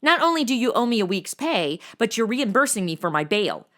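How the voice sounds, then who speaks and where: A woman's voice: a little high, very animated, a little fast, a bit bright. A woman playing a sassy, irreverent, blunt young temp in a comedy audio drama, sarcastic and slangy.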